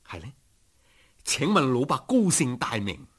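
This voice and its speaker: Man playing a humble, slow-spoken young scholar. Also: mid-range voice, very animated, husky. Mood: grateful. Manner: formal, respectful, self-deprecating. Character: humble, earnest young male scholar, deferential to elders. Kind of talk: storybook character acting.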